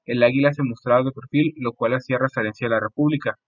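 A young man giving an informal history presentation in a halting way, often repeating his phrases. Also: mid-range voice, steady tone, no room sound. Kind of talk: informal school-style history presentation over slides. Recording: muffled, phone-like narow band, dry.

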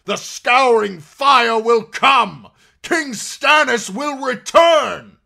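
A man's voice: very high voice, lively intonation, very slow, creaky, pushed and bright, pitched above the performer's own voice, heavily acted. A man voicing a solemn knight turned fiery zealot, crying out fervent, declamatory curses in an audiobook story. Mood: fanatical fury.

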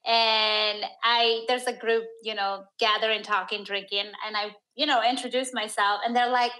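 A woman's voice: a little high, fairly even intonation, moderate pace, pushed and bright, low-quality recording. A woman from Peru talking as a podcast guest, reflective and searching, with many "like" fillers. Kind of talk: candid interview conversation.

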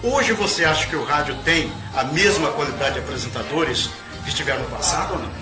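A man with a Brazilian Portuguese accent asking an interviewee lively, prodding questions. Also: on the high side, fast. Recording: echoey room with music underneath.

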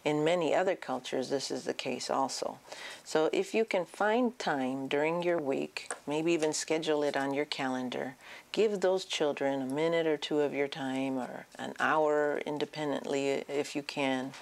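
A middle-aged woman with a low-pitched voice gives informational advice to parents. She explains each point with emphasis, like a clinician addressing families on camera. She is recorded on a lapel mic in an office. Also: normal speed, vocal fry. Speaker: child and adolescent psychiatrist giving advice. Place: small indoor office.

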